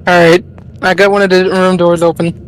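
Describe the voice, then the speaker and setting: High-voiced man in casual gaming chat. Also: little pitch movement, moderate pace, a little hiss or hum, low-quality recording. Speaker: gamer in a group online horror game.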